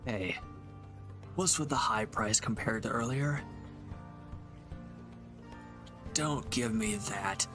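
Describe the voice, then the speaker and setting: A man playing a reformed villain turned protective lover in an intimate audio roleplay, with a gravelly, breathy voice. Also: medium pitch, measured pace, over music, close to an everyday voice. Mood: firm, confrontational. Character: reformed male villain, tender protective lover, gruffly firm.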